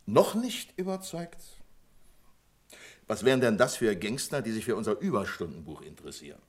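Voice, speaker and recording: An actor in a 1970s German radio play, playing a pompous, stubborn, sarcastic bank branch manager with a condescending manner. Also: male voice, on the low side, sing-song, normal speed. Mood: sarcastic, dismissive.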